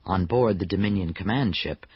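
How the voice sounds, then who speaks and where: A man's voice: sits low, moderate pace, slightly rough, no room sound. A man narrating a sci-fi radio drama in a scripted, dramatic style. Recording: dull, muffled recording.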